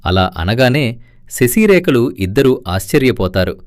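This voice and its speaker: Lively male storyteller with wide swings. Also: mid-range voice, unhurried, dry recording, clean studio sound. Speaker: narrator. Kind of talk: scripted story narration.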